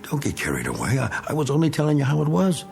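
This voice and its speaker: An actor playing a bitter, taunting American war veteran in a radio drama, with a gruff, sarcastic manner and an American accent. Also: male voice, fairly high pitch, huge pitch swings, quick pace, a little mellow, faint music behind, heavily acted. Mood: goading, panicked.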